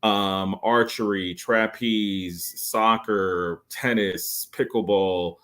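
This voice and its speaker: Man, late 40s, lowish voice, podcast guest selling resorts, eager with lots of ums. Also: steady tone, extremely slow, a bit bright. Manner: enthusiastic salesy pitch with many fillers. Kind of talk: podcast interview.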